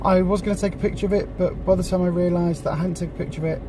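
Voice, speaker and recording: A vlogger with a croaky voice from a cold, chatting in a rambling way with lots of ums from inside a car. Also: mid-range voice, steady tone, racing, distant mic. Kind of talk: casual chat. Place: in a parked car. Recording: noisy car interior. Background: street and traffic noise.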